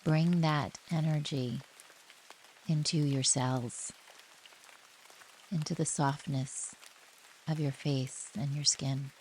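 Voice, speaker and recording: A woman guiding a relaxation meditation in a gentle, soothing manner, speaking in short phrases with long pauses. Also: low-pitched, low-quality recording. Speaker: yoga nidra guide. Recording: steady rain noise behind the voice. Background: rain ambience.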